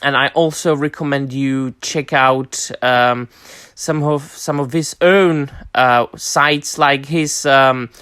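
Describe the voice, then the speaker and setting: A man hosting a book and film review vlog, speaking at a middle pitch. He is enthusiastic and emphatic, with frequent ums and uhs. The recording is dry and close. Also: steady tone, slow pace, sharp tone, clear, resonant tone, dry recording. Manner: enthusiastic, emphatic, many fillers. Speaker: host.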